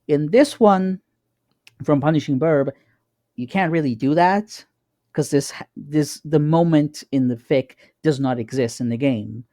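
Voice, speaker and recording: A man co-hosting a podcast, speaking casually in a measured, explanatory way as he analyses and asks questions. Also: fairly high pitch, dark tone, no room sound, studio quality. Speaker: podcast co-host.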